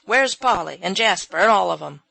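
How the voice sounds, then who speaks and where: A woman voicing a fussy, irascible old gentleman who scolds loudly, in a storybook reading. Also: fairly low pitch, normal speed, gravelly, no echo, exaggerated voice. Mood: blustering. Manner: blustering, loud scolding.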